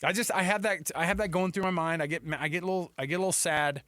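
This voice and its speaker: A man co-hosting a sports radio show, chatting casually and informally in an animated way. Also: high-pitched, fast, no room sound, clean studio sound. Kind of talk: casual sports talk banter.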